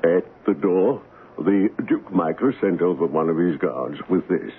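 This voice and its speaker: A loyal aide giving a report, deep voice, plain delivery, old tinny radio play sound. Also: male voice, measured pace. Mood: dutiful. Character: dutiful royal aide reporting to his king. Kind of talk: 1970s radio drama acting. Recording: noisy narrow-band vintage radio broadcast.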